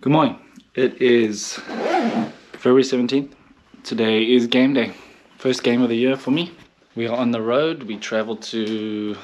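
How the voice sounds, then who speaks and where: Relaxed South African man, softened accent. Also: medium pitch, slight background noise. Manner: relaxed, matter-of-fact self-filming. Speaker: professional baseball pitcher vlogging. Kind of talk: casual video diary. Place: hotel room and city street. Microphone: phone camera at arm's length.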